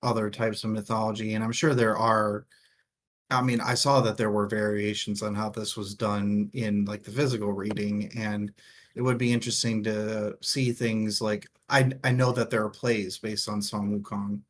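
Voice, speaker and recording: A man co-hosting a casual book discussion, speaking in a halting way as he thinks aloud. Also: lowish voice, little pitch movement, a little hiss or hum. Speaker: co-host of a book discussion show.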